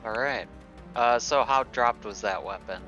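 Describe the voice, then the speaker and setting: Bright-toned man planning his game turn. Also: mid-range voice, unhurried, highs cut off, faint music behind. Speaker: player. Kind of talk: casual chat at a game table.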